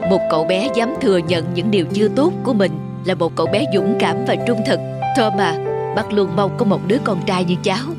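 A woman voicing a kind, fatherly man in a cartoon, gentle and reassuring. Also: medium pitch, brisk, loud music behind the voice, close to an everyday voice. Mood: tender. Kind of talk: cartoon voice acting.

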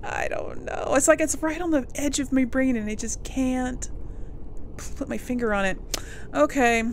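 A woman's voice: sits high, moderate pace, slight background noise. A woman giving casual let's play commentary as host, chatty and exclaiming as she thinks aloud. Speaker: gaming video host and player. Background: loud game music and sound.

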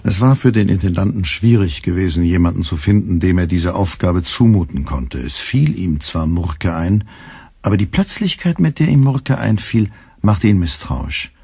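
Male literary narrator, very low, rough-breathy tone, wry delivery, dry recording. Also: animated, moderate pace, warm tone, dry recording. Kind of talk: scripted literary radio play narration.

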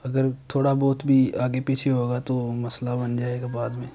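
A young man hosts a how-to tutorial. His mid-pitched voice stays very even in tone as he explains each step plainly, like an instructor. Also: moderate pace, slight background noise. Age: young adult. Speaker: how-to video host.

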